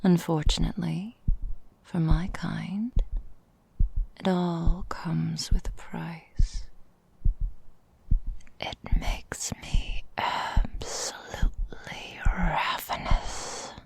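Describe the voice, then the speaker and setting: Woman doing a tenderly menacing vampire mistress for asmr, super slow breathy whisper, lots of crackly fry. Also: on the low side, little pitch movement, exaggerated voice. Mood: seductive, hungry. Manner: slow, velvety, formal old-fashioned phrasing. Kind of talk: intimate ASMR roleplay.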